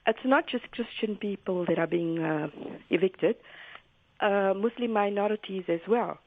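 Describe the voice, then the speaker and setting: A woman's voice: medium pitch, unhurried, a little hiss or hum. A slightly nervous woman with a South African accent phoning a radio show with a polite, conversational comment. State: a little nervous. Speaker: phone-in caller. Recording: thin narrow telephone line.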